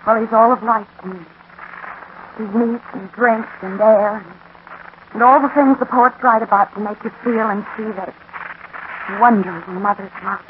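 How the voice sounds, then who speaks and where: Through thin sound with faint noise, a woman acts a brooding young wife and devoted mother in a 1940s radio play. Her delivery is intense, deliberate and theatrical. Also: fairly high pitch, steady tone, phone-quality sound, close to an everyday voice. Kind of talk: 1940s radio drama acting.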